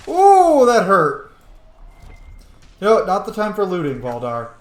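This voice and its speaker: A man doing casual gameplay commentary in an excitable, conversational, sweary way. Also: sits high, a little slow, roomy sound. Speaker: gaming video host.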